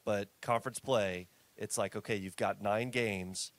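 A man's voice: lowish voice, fairly even intonation, unhurried, no room sound. A man in his early thirties co-hosting a sports podcast, easygoing and hedging with lots of filler. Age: 30s. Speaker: podcast co-host. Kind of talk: casual sports chat.

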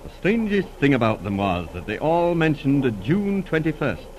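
An actor playing an earnest, courteous doctor in a 1940s radio drama, speaking in a measured, slightly hesitant way. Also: male voice, a little high, sing-song, a little mellow. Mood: grave, confiding. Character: earnest, courteous male physician confiding a mystery. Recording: noisy old radio recording.

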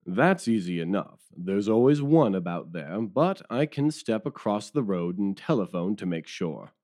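A bossy but agreeable police detective, acted by a man in an audio drama. His voice is fairly low and very animated, hearty and full of bluster. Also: moderate pace. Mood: businesslike.

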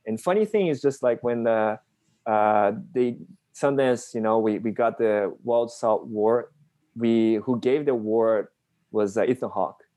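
A man's voice: medium pitch, a little slow, mellow. A man from Brazil, a film writer-director answering interview questions in a hesitant way, with many restarts and small laughs.

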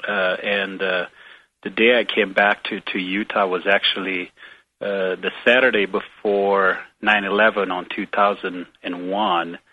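A man's voice: low-pitched, flat delivery, unhurried. A middle-aged man from Romania with a Romanian accent, speaking as an interview guest, hesitant with many fillers and restarts. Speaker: guest, foundation president. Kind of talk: casual interview conversation. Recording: tinny phone line, dry.

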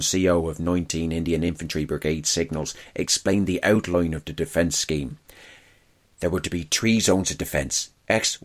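A man reading a first-person wartime diary aloud as scripted narration. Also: low-pitched.

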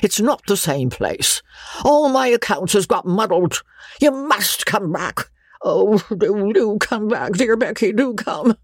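A woman voicing a gruff, blustering old country baronet who pleads insistently in rustic, ungrammatical speech, in an audiobook. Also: medium pitch, big pitch swings, takes it slowly, no room sound, studio quality, pitched above the performer's own voice. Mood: pleading, urgent.

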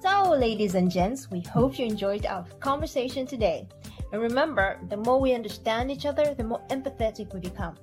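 A woman's voice: fairly high pitch, expressive, fairly quick. Woman reading a podcast sign-off over music. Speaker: podcast outro announcer. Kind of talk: scripted sign-off. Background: faint music.

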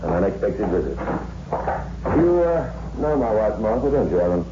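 An actor playing a smooth, evasive, falsely polite husband with cool menace in a 1960s radio drama. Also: male voice, on the low side, big pitch swings, normal speed. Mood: coolly polite. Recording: echoey, noisy, muffled old radio recording.

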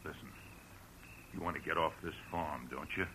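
Sharp, forceful, scheming country man. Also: rumbling low, normal speed, poor audio. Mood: urgent, greedy. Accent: American. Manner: insistent, punchy, plain-spoken. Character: scheming, pushy, hot-headed rural American man. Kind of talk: 1940s radio drama acting. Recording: hissy old radio broadcast recording.

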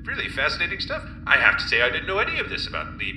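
A man's voice: fairly high pitch, huge pitch swings, racing, pushed and bright, reverberant, a little hiss or hum, full character voice. A man playing an earnest, formal professor who interviews guests, with many hesitant "uh"s. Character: earnest male professor running a strange experiment. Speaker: host. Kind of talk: improvised comedy.

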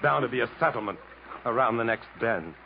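An actor playing a determined, protective adventurer in an earnest, urgent, dramatic 1950s radio style. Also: male voice, mid-range voice, animated, a little fast, gravelly. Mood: urgent, hopeful. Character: determined, protective English adventurer stranded in the jungle. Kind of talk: 1950s American radio drama acting, with first-person narration. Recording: muffled, noisy 1950s radio broadcast.

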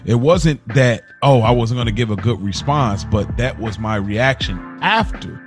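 A man co-hosting a casual podcast, explaining himself in an earnest, measured way. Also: medium pitch, expressive. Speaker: podcast co-host. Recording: voice over music bed. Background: music.

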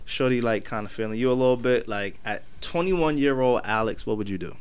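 A man's voice: mid-range voice, a little fast, clean tone. A man hosting a casual podcast chat who steers the conversation and jokes with the guests. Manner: steers the talk, affirming and joking. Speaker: podcast host.

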